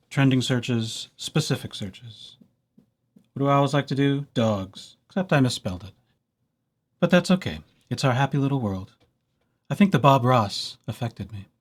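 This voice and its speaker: A man's voice: mid-range voice, a little slow. Playful man giving a casual tutorial. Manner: playful, wry asides and mock enthusiasm. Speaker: library presenter hosting a digital services tutorial. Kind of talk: casual screen-share software tutorial.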